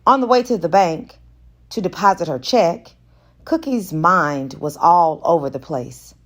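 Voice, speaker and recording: A woman reading romance fiction aloud as an audiobook narrator, in a deliberate and expressive way. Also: on the low side. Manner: deliberate, acts out dialogue. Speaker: audiobook reader.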